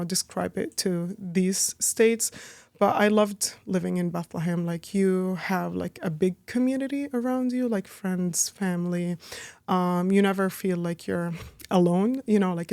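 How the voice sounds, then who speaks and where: A woman's voice: fairly low pitch, darkish tone, dry recording. Chatty Palestinian-born woman, dry sound. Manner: chatty, heavy use of "like" and "you know". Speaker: guest, business owner. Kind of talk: casual interview talk.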